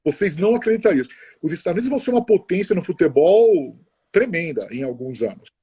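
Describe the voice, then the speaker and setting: Mellow husky middle-aged man chatting. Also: high-pitched, sing-song. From Brazil. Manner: chatty, halting, many restarts and tag questions. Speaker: interview guest. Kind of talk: casual conversational interview.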